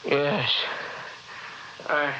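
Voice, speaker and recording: An actor playing a dazed, bitter young husband in a 1960s horror radio drama, speaking in halting, broken phrases. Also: male voice, on the high side, steady tone, pushed and bright, heavily acted. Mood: dazed, haunted. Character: dazed, bitter honeymooning husband trapped in a cabin. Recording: dull, noisy old radio recording.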